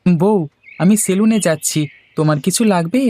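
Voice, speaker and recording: A man's voice: sits high, moderate pace, dark tone, dry recording, close to an everyday voice. A man voicing a good-natured, playful young barber husband in a cartoon, eager and obliging. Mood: affectionate, playful. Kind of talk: Bengali cartoon dialogue.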